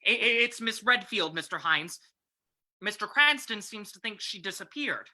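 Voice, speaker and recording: Woman as hesitant, stammering clerk, bright voice. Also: mid-range voice, lively intonation, moderate pace. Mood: nervous, deferential. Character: hesitant, deferential male desk clerk. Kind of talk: old-time radio drama reading.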